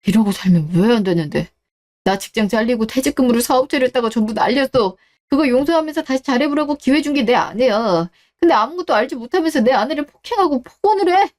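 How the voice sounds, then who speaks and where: A woman's voice: high-pitched, expressive, fast talker, darkish tone. A woman voicing an earnest, emotional husband, loyal to his wife and embittered, in a melodramatic radio drama. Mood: angry.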